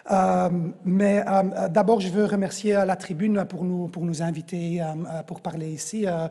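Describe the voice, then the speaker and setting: A man's voice: high-pitched, level tone, normal speed, mellow. A man with a Flemish accent and native Dutch, giving a conference talk with constant hesitations and restarts. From Belgium. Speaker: conference speaker. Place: large conference venue. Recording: echoey conference hall.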